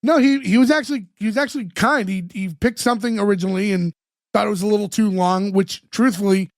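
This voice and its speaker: A man's voice: sits high, fast talker, dry recording, studio quality. A man in his late thirties co-hosting a podcast, recapping a TV episode in casual chat with lots of fillers, his voice worn. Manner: chatty, with many fillers. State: losing his voice. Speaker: podcast co-host.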